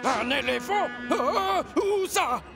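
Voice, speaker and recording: A man voicing a fretful, dismissive male machine with a gravelly voice in a children's cartoon dub. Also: very high-pitched, animated, slow, music in the background, heavily acted. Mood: startled. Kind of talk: animated children's series dubbing.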